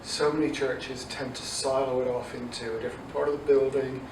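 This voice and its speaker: A man in his forties from Dublin with an Irish accent softened by English, answering interview questions conversationally as a youth ministry director. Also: medium pitch, flat delivery, a little fast. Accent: Irish mixed with English. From south Dublin, Ireland. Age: 40s. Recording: echoey, distant mic, noisy room.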